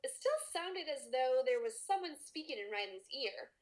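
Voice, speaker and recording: A woman reads fiction aloud for a podcast. Her voice sits a little high and moves around a lot in pitch. The narration is expressive. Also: normal speed. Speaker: podcast host reading a story.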